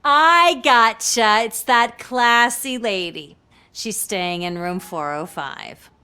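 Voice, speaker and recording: An actress playing a bored, sassy hotel clerk with an offhand drawl. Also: female voice, on the high side, slow pace, bright, forceful tone, clean tone.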